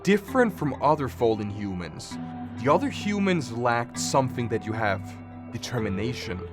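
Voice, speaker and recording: A man's voice: mid-range voice, lively intonation, normal speed. A man hosting a reaction video, joking and thinking aloud as he comments casually and reads captions out. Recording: music playing behind the voice. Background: background music.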